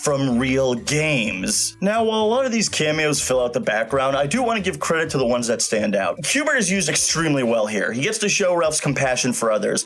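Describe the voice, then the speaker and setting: A man's voice: on the high side, fairly bright tone. A man narrating an opinionated scripted review in an emphatic, informal way. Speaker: video reviewer and host. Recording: music playing behind the voice. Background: background music.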